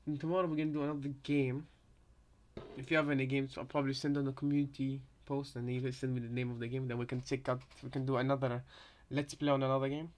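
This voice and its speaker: A man's voice: mid-range voice, level tone, fast talker. A man chatting casually on a live reaction stream, rambling hesitantly and often repeating his phrases. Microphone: headset.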